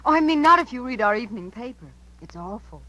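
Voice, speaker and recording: An eager, adoring young niece. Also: female voice, fairly high pitch, big pitch swings, quick pace, sharp tone, highs cut off, soft background music. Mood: playful. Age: young adult. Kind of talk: 1950s radio drama acting. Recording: vintage 1950s radio broadcast.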